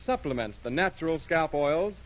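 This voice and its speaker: A man's voice: fairly high pitch, animated, normal speed. A man announcing in a formal, polished 1930s radio advertising style, on a muffled old recording.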